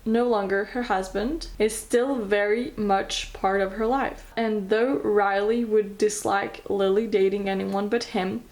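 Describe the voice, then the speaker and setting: A woman with a French accent talks through her favorite reads with easy enthusiasm. Her voice sits at a middling pitch, and she slips now and then into reading blurbs aloud. Her phrasing is a little non-native. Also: darkish tone. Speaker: book vlogger. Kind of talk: casual book recommendations, reading blurbs aloud.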